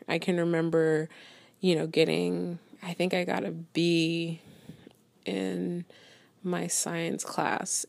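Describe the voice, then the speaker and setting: A woman with a low voice and a noticeable vocal fry shares personal memories in an interview. She speaks haltingly, pausing often, and the sound is dry and close. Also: no room sound. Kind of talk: reflective personal anecdote in interview.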